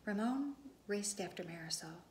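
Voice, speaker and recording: A woman preschool teacher reading a story aloud to young children, expressive and deliberate, speaking to them directly. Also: medium pitch. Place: empty classroom. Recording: echoey room with background noise.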